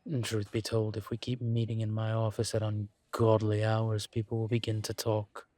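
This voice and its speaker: A man voicing a suave, teasing incubus professor in an intimate audio roleplay, courteous and measured, with deliberate pauses. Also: on the low side, monotone, dark tone, no room sound, natural voice. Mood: measured, candid. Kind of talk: intimate one-sided audio roleplay acting.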